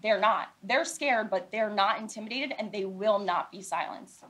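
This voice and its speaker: An American woman lawyer giving a prepared statement at a news conference, speaking deliberately and emphatically and repeating her points in short phrases. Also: mid-range voice, fairly bright tone. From Philadelphia, United States.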